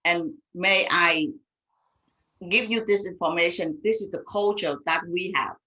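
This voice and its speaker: Woman, medical interpreter, bright tone, halting, self-correcting. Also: lowish voice, rough recording. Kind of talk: panel discussion after a live-streamed reading.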